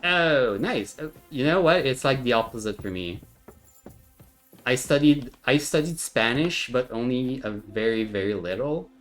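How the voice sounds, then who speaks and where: A man's voice: medium pitch, expressive, measured pace, soft background music. A streamer whose first language is French, chatting casually and laughing easily while he plays. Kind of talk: casual gameplay chat.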